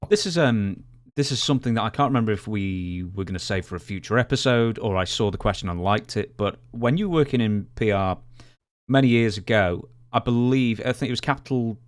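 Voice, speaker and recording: Male podcast co-host, slightly low voice, casual conversational interviewer. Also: brisk. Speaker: podcast co-host asking questions.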